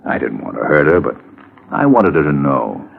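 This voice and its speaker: Glib lawyer, middle-aged: low register, animated inflection, evasive and brisk, 1950s radio acting, faint hiss. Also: male voice, creaky, exaggerated voice. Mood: amorous. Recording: muffled, hissy vintage radio recording.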